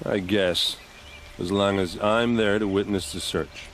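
A man's voice: on the low side, steady tone, measured pace, a little hiss or hum, lightly acted. An actor playing a blunt, sardonic, blustering warrior captain in his thirties in a video game cutscene. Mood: grudging. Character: gruff, grieving, blustering male warrior captain.

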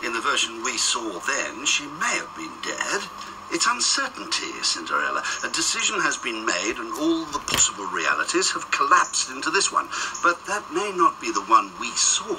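An actor playing an urbane department chief in a radio drama, precise and drily teasing. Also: male voice, medium pitch, wildly swooping pitch, normal speed, noisy background. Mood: dry.

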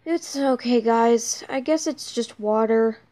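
Woman playing a kindly high-voiced heroine. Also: slow, mellow. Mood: forgiving. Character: Mercy, kindly, forgiving woman medic. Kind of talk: fan fiction character voice.

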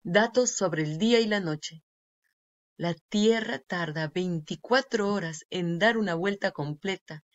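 A woman reading a children's nonfiction book aloud with deliberate, clear phrasing for young learners. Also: fairly low pitch. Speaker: read-aloud narrator. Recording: dull, lo-fi sound.

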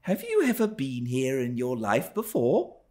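A man voicing a spoiled, excitable thirteen-year-old girl from a classic novel. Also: medium pitch, unhurried, pitched above the performer's own voice. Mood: gleeful. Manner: excitable, wheedling.

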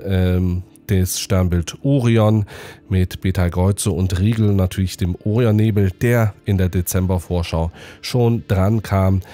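A middle-aged man with a cold, sounding hoarse and nasal, hosting a casual astrophotography sky-preview video from his home study. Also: deep voice. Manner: lively, animated presenting. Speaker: astrophotography channel host. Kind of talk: casual presenting, sky preview and prize draw.

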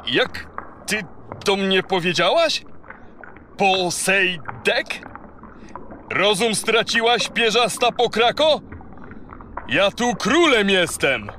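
A man's voice: high-pitched, sing-song, slow, long pauses, pushed and bright, slight background noise, full character voice. A male actor playing a proud, imperious yet gracious sea king in a children's audio play, with a theatrical, courtly manner. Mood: indignant.

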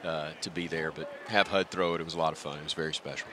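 A man's voice: very deep voice, steady tone, very fast, bright, forceful tone. A middle-aged man chatting as a guest in a broadcast booth interview, self-deprecating and reflective. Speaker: guest, radio play-by-play announcer. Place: stadium press booth at a baseball game. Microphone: broadcast headset. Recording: outdoor ballpark ambience behind voice.

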